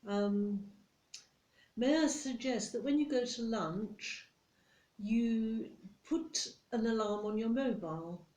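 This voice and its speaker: Strict female office boss acted by a woman, British accent, soft mellow tone, slow deliberate scolding, ASMR roleplay, roomy echo. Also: fairly high pitch. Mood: stern, disapproving. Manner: stern, condescending, deliberate. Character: strict, nitpicking female office boss telling off a new employee. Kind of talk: ASMR roleplay monologue addressed to the listener.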